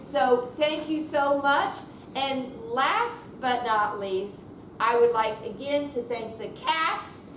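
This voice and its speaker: Woman organizer, high voice, chatty closing thanks to an audience, noisy distant pickup. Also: animated, takes it slowly. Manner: chatty, informal. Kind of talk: informal thank-you speech to an audience. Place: room at a senior center. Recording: roomy, distant mic, noisy.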